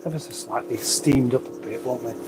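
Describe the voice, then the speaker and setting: A man's voice: medium pitch, brisk, dark tone, soft background music. A man with a runny nose, hosting a casual livestream, rambling chattily with wry asides as he reads out comments. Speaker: livestream host. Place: garage.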